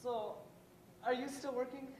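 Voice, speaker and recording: Earnest, laid-back teen boy played by a young man, amateur stage, roomy far-off recording with faint rumble. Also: steady tone, a little slow, a little mellow. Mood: earnest, tentative. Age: young adult. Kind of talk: amateur stage play acting.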